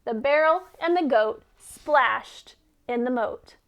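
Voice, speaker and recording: Woman doing a kids read-aloud, high voice, takes her time, really expressive, teacher style. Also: slow pace, creaky, highs cut off, slight background noise. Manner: expressive, emphatic storytelling. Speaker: storyteller and teacher. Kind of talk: children's read-aloud with questions to listeners.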